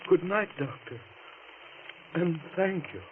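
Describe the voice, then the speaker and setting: An actor playing a frightened, dependent husband who is a newly blinded patient, faltering and plaintive, in an old radio melodrama. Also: male voice, on the high side, expressive, takes it slowly. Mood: weakly grateful. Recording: tinny, noisy vintage radio recording.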